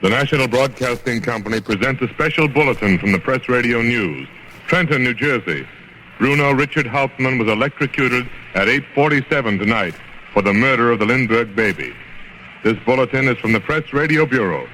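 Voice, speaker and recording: A man's voice: mid-range voice, expressive, fairly quick, sharp tone. A man reading a 1930s radio news bulletin in a clipped, formal announcer's manner. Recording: faintly noisy 1930s radio recording.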